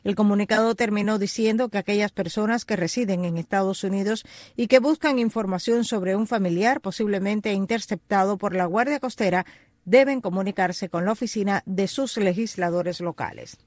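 A man with a notably high voice reads the news as an anchor. The delivery is scripted bulletin narration, recorded very dry with no room sound. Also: moderate pace, no room sound.

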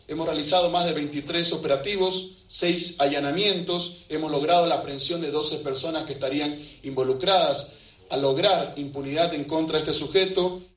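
A man's voice: on the high side, fairly bright tone. A man giving an official press statement as a government official. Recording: very echoey room, distant mic.

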